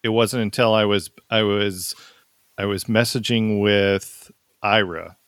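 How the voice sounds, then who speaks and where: An easygoing man with a low voice chats casually, dropping quick affirmations. The sound is dry and close, with no room around it. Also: steady tone, a bit bright, no echo. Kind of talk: casual conversation.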